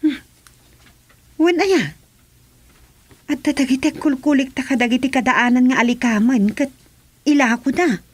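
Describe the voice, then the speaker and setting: High-voiced chatty Ilocano townswoman. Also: measured pace, pauses often, darkish tone, husky, no echo. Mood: interested, approving. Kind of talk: Ilocano radio comedy drama dialogue.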